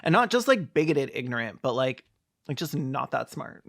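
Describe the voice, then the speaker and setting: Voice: medium pitch, big pitch swings, normal speed, gravelly. A video commentator giving sarcastic, comedic film commentary in a campy, mocking and theatrical way.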